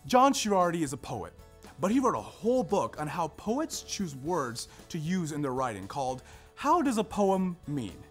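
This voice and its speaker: Lively scripted narrator, music behind. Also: medium pitch, moderate pace. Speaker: readers theater narrator. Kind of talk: scripted narration, staged reading of a research presentation.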